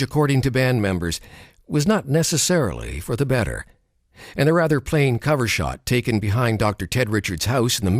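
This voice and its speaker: A man reads a scripted documentary narration in a studio booth. His pitch rises and falls with a lot of movement, and his delivery is measured and expressive. The sound is close and dry, with no room echo. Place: recording studio. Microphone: studio microphone.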